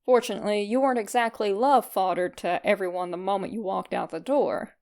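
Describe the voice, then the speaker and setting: A woman reading fan fiction aloud as narrator, in an expressive scripted storytelling style. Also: medium pitch, a little fast.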